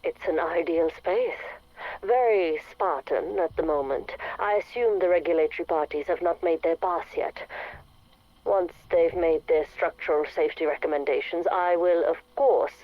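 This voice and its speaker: An actress playing a cold, sinister scientist in a game, speaking in a composed, formal, detached way. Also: female voice, fairly low pitch, normal speed, no echo, close to an everyday voice. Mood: guarded, formal. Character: cold, clinical, sinister female scientist villain. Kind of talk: dramatic game voice acting, measured dictation. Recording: dull radio-like audio log.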